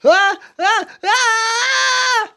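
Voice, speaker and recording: A woman voicing an anxious male elephant from a children's picture book, halting and dramatic. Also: very high-pitched, sharp tone, voice pushed up, full character voice. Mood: nervous, struggling. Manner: halting, drawn-out, dramatic delivery.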